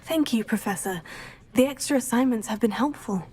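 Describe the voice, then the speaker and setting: A woman's voice: a little high, fairly quick, a little mellow, slight background noise, lightly acted. Woman acting a polite teenage witch student. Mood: polite, guarded. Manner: polite, composed. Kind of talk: scripted video game acting.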